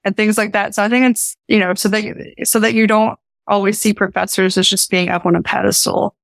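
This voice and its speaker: A woman's voice: mid-range voice, fairly even intonation, fairly quick, gravelly, no room sound. A young woman with an Appalachian accent answering interview questions as a research scientist, earnest and informal in the way she talks. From Appalachia, United States. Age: young adult. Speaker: professor and research scientist, podcast guest.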